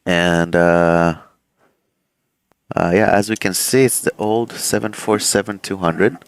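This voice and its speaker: A man's voice: sits low, slow, smooth, dry recording. A man streaming a flight simulator session, chatting casually with many fillers while running cockpit checks, his throat a bit swollen. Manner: relaxed, rambling, many fillers. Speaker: flight sim streamer, airline pilot.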